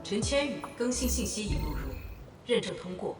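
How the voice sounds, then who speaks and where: A woman's voice: mid-range voice, expressive, fairly quick, natural voice. A woman voicing an impassive, formal automated announcement system in a video game, echoing as if over a hall speaker. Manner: formal, procedural. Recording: echoing hall PA, distant, music behind.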